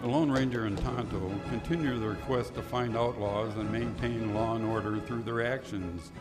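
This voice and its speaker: A man's voice: mid-range voice, steady tone, normal speed. A man announcing a radio play, reading scripted narration and credits. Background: loud music.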